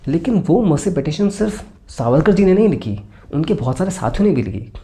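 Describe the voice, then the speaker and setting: A man's voice: a little high, sing-song, fairly quick, gravelly, dark tone. A man with an Indian accent hosts a conversational film review, explaining his points and mixing in English phrases.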